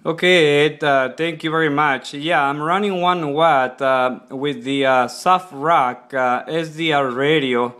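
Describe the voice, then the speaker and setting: A man's voice: on the high side, fairly even intonation, unhurried, few pauses, a bit bright. A man speaking up in a casual ham radio exchange, with hesitant, repetitive phrasing, as a radio operator in a kitchen. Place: indoors in a kitchen. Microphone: laptop microphone nearby.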